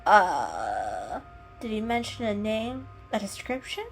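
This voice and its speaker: A woman voicing an inquisitive cat friend in a storybook reading, with a direct, animated manner. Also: medium pitch, slow, creaky, faint music behind, full character voice. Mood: exasperated.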